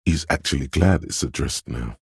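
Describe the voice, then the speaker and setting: A man voicing a suave, insinuating inner voice of persuasion in a video game, narrating in a measured, knowing, gently coaxing way. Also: no room sound, studio quality, full character voice. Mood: gently encouraging. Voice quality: very deep, husky. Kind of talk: scripted video game narration in second person.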